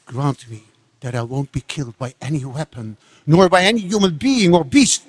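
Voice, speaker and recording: A man's voice: a little high, normal speed, husky. Man acting a grandiose demon king in a reverberant hall. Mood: demanding. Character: ambitious demon king pleading for immortality and power. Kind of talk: devotional dramatic reading.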